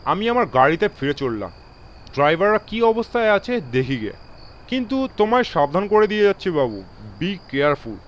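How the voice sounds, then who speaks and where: An authoritative railway guard played by a male narrator in a horror audio drama, with a high voice that swings widely. He is emphatic and alarmed, dropping English phrases into his speech. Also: sing-song, moderate pace, lo-fi sound. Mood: grave warning.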